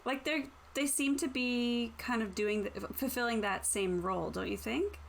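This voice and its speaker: A woman's voice: a little high, normal speed, creaky. A woman chatting casually as a player in an online tabletop roleplaying session. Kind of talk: casual chat. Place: indoors, online call with stream. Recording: faint background noise.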